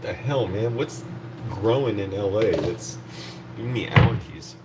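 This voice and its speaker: A man in his thirties talks in a rambling, self-mocking way while he cooks, and his voice is hoarse and rough. His voice sits a little low and sounds distant from the mic. A loud air conditioning hum runs under everything. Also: measured pace. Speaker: vlogger. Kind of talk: casual livestream chat while cooking. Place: apartment kitchen.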